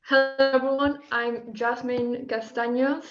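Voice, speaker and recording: Flat-voiced teenage girl, echoey recording. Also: fairly high pitch, a little slow, soft background music. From Argentina. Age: teens. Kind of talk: prepared self-introduction. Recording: dull, echoey room.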